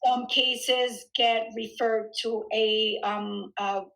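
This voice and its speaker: A woman in her thirties, a fitness studio founder, chatting in an interview and telling her story in an animated way with frequent fillers and laughs. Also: a little high, slow pace, vocal fry, poor audio. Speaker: fitness studio founder and trainer, podcast guest. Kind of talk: casual conversational interview.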